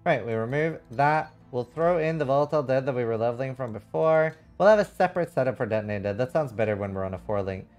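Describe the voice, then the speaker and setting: A man doing casual live game commentary, reading chat and thinking aloud in a frank, rambling way with some casual swearing. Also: medium pitch. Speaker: livestreamer.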